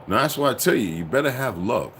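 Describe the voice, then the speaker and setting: A man rants straight to his viewers in a profane, preachy and insistent way. His pitch swings widely as he pushes each point, and a faint hiss sits under his voice. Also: lively intonation, fast, a bit bright. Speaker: commentator. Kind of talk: ranting monologue to viewers.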